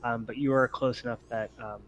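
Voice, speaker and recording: A man's voice: mid-range voice, fairly even intonation, brisk. A man refereeing a tabletop game as game master, thinking aloud and accommodating as he improvises rulings. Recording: muffled mic with noticeable background noise.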